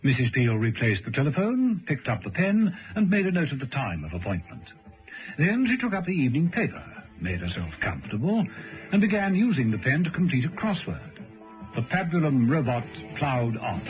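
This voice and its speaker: A man's voice: mid-range voice, very animated, moderate pace, soft background music. A man narrating a vintage radio serial in a dramatic, suspenseful scripted style. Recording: muffled old radio broadcast recording.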